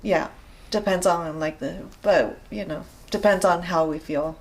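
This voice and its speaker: Slow, hesitant Japanese-accented woman. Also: fairly low pitch, darkish tone. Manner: hesitant, many fillers and restarts. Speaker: interview guest, rope bondage practitioner. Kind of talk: casual interview chat.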